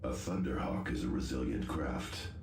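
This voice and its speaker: A man playing a grave, stoic tech-priest space marine in a sci-fi game, speaking formally and solemnly with a very deep, gravelly voice. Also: moderate pace, darkish tone, a little hiss or hum. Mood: solemn recounting. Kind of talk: dramatic sci-fi game acting. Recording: roomy echo, music underneath.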